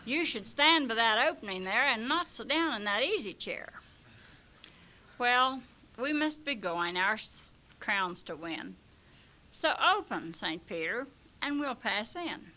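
A woman's voice: on the high side, animated, a little slow, sharp tone, poor audio. A woman doing a prim, bossy wife. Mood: lecturing. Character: bossy, self-righteous middle-aged wife.

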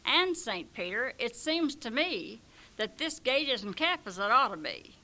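A woman's voice: a little high, sing-song, a little slow, sharp tone, full character voice. A woman voicing a self-righteous middle-aged wife who lectures in a prim, bossy way. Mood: lecturing.

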